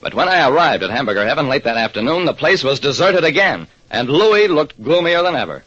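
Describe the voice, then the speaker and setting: A man playing a wry, steady American private detective, narrating colloquially in 1940s radio drama style. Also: fairly high pitch, fairly quick. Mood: concerned. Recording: dull, clearly noisy old radio recording.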